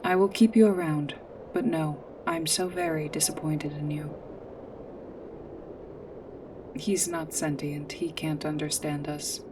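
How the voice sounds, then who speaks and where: A woman playing a polite, earnest android guide in a sci-fi ASMR roleplay, speaking in formal, precise, literal phrases with hesitant pauses. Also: deep voice, fairly even intonation, distant mic, background noise, lightly acted. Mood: dry, mock-disappointed. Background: music.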